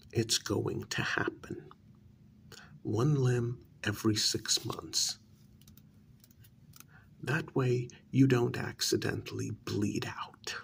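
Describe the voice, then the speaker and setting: Man playing a cold, gravelly vampire lord, half-whispering. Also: mid-range voice, a little hiss or hum, full character voice. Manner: slow, deliberate, quietly threatening with drawn-out pauses. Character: cold, vengeful male vampire lord, menacing and cruel. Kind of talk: whispered ASMR role-play monologue.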